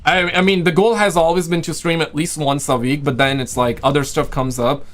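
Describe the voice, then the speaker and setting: A man with a fairly high-pitched voice talks casually to his livestream viewers. He rambles in loose slang and often repeats himself, his voice a little worn as if it is going. Music plays faintly behind him. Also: steady tone, a little fast, a bit bright. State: losing his voice. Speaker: livestream host and video creator.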